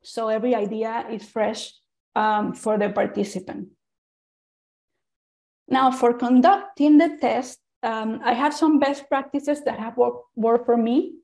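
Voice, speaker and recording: A woman from Venezuela speaks English with a Venezuelan Spanish accent. Her voice sits fairly high and has a warm, mellow tone. She presents earnestly and informally, often restarting and repeating words as she goes. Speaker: speaker on usability testing.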